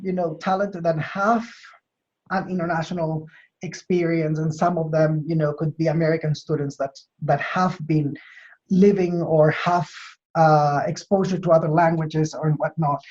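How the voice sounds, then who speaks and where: A man's voice: a little high, fairly even intonation, normal speed, a little mellow. Chilean-accented man in dull lo-fi audio. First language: Spanish. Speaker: university administrator being interviewed. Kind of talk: interview answers, explanatory.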